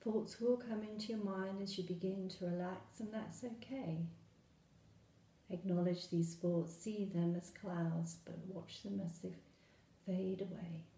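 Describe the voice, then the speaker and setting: A woman leading a guided meditation, speaking soothingly and gently in short phrases with long pauses. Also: medium pitch, steady tone, echoey room, poor audio.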